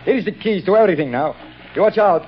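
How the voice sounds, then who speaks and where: High, hurried workman. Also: lively intonation. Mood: impatient. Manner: brisk, scolding. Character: impatient Italian workman eager to go home. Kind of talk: 1940s radio acting. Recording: muffled, noisy old-time radio recording.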